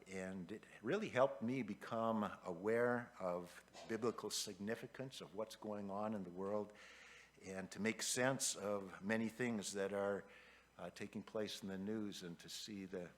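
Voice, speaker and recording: A man in a church introducing the guest speaker in a measured way, with frequent hesitations. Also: fairly low pitch. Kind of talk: read introduction. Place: church sanctuary.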